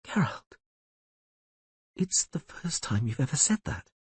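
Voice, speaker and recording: A man voicing a proud, quick-tongued sorceress in an audiobook reading, in a husky voice with a teasing, commanding manner. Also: medium pitch, sing-song, dry recording, voice pushed up, exaggerated voice.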